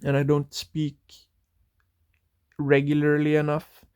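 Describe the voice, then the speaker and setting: A man's voice: fairly high pitch, completely flat delivery, slow pace, a little mellow, clear, resonant tone, no room sound, studio quality. A young man, thinking aloud in a rambling solo monologue that drifts into tangents. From Norway. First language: Norwegian. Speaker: podcast host.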